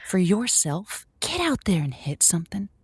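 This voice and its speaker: Gushing, flustered radio advice host, close and dry. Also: female voice, lowish voice, big pitch swings, slightly rough, no room sound, exaggerated voice. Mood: earnest, fired up. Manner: effusive, halting with stammers and repeats. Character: gushing, flustered woman hosting lonely-hearts radio show. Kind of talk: improvised audio comedy, radio host patter.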